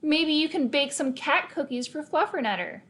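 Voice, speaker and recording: A taunting young girl from a children's storybook, as a woman reader puts her on. Her voice is pitched up and teasing, with a smug, mocking edge aimed at her rival. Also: animated, normal speed, fairly bright tone. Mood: scornful.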